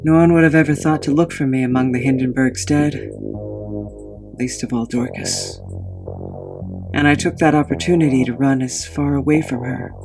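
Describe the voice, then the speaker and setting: A man's voice: medium pitch, moderate pace, creaky, over music, lightly acted. A man playing a guilt-ridden man who recounts his tragic past in a brooding, remorseful dramatic monologue. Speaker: narrator of own backstory.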